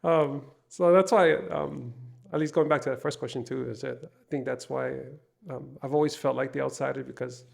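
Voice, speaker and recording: A man chatting casually on a panel, speaking in a halting, hesitant way. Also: mid-range voice, fairly even intonation, creaky, a little mellow. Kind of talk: casual chat.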